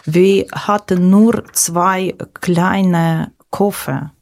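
Middle-aged woman, Ukrainian accent, slightly low voice, slow halting delivery, personal recollection. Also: steady tone, a little mellow. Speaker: guest, former teacher.

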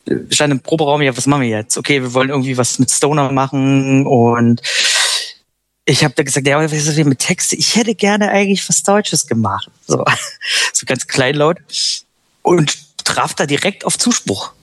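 A man's voice: medium pitch, moderate pace, no room sound, clean studio sound. Reflective German-speaking band singer, a man. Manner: reflective, hesitant, self-deprecating. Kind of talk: casual interview talk.

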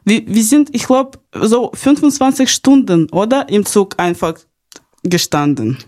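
Young woman, Ukrainian accent, mid-pitched voice, podcast host, personal storytelling, small grammar slips. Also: unhurried, darkish tone. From Kharkiv, Ukraine. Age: young adult. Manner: non-native German with small grammar slips. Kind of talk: podcast narration of personal experience.

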